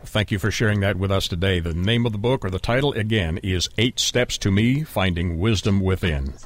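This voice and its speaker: A low-voiced man hosting an interview show, measured and courteous. His voice is recorded dry and close, with no room sound. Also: no echo. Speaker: radio show host.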